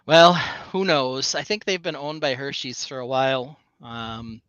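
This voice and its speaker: A man's voice: medium pitch, lively intonation, unhurried, a bit bright, smooth, dry recording, dull audio. A man co-hosting a casual live stream, rambling along with plenty of fillers and restarts. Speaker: co-host.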